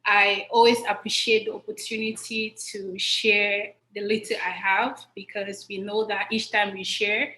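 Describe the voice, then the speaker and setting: A woman's voice: medium pitch, level tone, a little slow, few pauses, pushed and bright. Earnest woman from Cameroon, echoey room. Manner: earnest, repeats points for emphasis. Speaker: guest, business distributor. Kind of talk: livestream interview answers.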